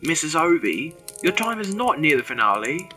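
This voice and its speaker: A man's voice: a little high, big pitch swings, normal speed, pushed and bright, soft background music. A man playing a polite, worried visitor with stilted formal diction in a ghost story audio drama. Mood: concerned, hesitant. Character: concerned, polite man visiting a dying old woman.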